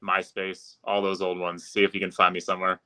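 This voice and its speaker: A man with a bright, forceful voice that sits fairly low. He chats casually and fluently as an interview guest, keeping his pitch in a fairly narrow band. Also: monotone, brisk, smooth. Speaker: podcast guest, arena public address announcer.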